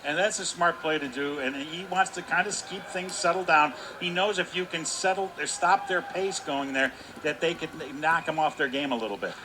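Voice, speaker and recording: A man giving radio color commentary on a hockey game, explaining the play in a measured, conversational way. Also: a little high, background noise. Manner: measured, explanatory, with fillers like "kinda".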